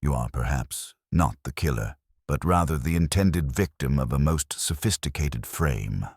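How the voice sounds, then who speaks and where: A man reading the part of a fastidious detective, speaking in a precise, probing, formal way. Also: extremely low pitch, animated, vocal fry, a little mellow, slightly rough, dry recording, clean studio sound, full character voice. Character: fastidious Belgian male detective, middle-aged.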